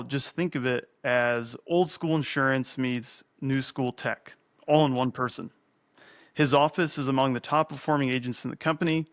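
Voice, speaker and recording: A man's voice: mid-range voice, a bit bright. Podcast host, 30s man, upbeat and friendly, close studio mic. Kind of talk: scripted intro, then casual chat. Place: home studio with shelves, indoors. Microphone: broadcast mic on arm.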